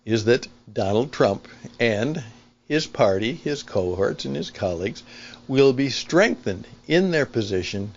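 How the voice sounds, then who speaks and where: An older man hosting a talk show with a conversational opinion monologue, speaking in an emphatic, deliberate, explanatory way. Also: medium pitch, animated, lo-fi sound. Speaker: podcast host and veteran broadcaster.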